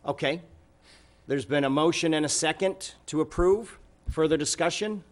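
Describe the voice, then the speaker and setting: A man chairing a city council meeting, giving informal remarks and updates in a halting, conversational way. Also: fairly high pitch.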